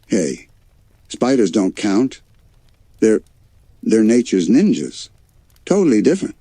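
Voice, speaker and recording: Guy doing a big goofy cartoon bear bro, boastful, excited slang, a bit husky, bedtime story. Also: on the low side, slow, no echo, pitched above the performer's own voice, heavily acted. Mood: playful, defensive. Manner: enthusiastic, slangy.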